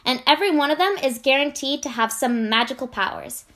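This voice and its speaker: A woman's voice: high voice, animated, a little fast, fairly bright tone. A woman doing scripted advertising reads as a voice-over artist, with a polished, conversational sales delivery.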